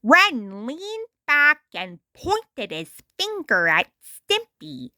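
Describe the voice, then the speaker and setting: Very slow, high-voiced woman reading a story. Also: wildly swooping pitch, bright, forceful tone, no room sound, studio quality. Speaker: amateur storyteller reading their own adaptation. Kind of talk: storybook read-aloud narration.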